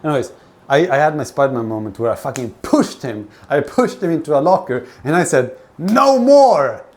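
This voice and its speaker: A man from Sweden chatting casually on a livestream from his kitchen, telling personal stories in a rambling, halting, sweary way. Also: fairly high pitch, animated, a little mellow. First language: not Swedish; grew up with several languages. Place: home kitchen while cooking. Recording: clear kitchen noise, frying food. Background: frying food, kitchen ambience.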